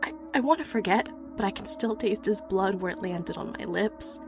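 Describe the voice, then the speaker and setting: A woman's voice: medium pitch, expressive, moderate pace, close to an everyday voice. Haunted young woman inventor, confiding over music, acted. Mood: anguished, grieving. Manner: hesitant, breathy confiding storytelling with whispered phrases. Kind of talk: horror audio drama acting, confessional monologue. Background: background music.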